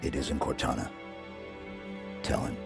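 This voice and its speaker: An actor playing a stoic veteran super-soldier in a video game, laconic and deadpan with a very deep, gravelly, commanding voice. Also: male voice, a little slow, over music, close to an everyday voice. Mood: firm. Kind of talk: understated video game acting.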